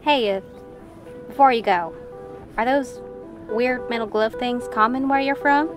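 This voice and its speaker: A woman playing a shrewd, unhurried townswoman in a western audio drama, with a probing, matter-of-fact manner. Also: fairly high pitch, over music, close to an everyday voice. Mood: curious.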